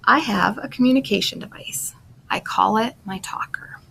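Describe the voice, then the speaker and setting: A woman's voice: medium pitch, normal speed, gravelly, bright, forceful tone, in a whisper, poor audio. A woman speech language pathologist explaining in simple, instructional words to young listeners, as in a picture book read-aloud.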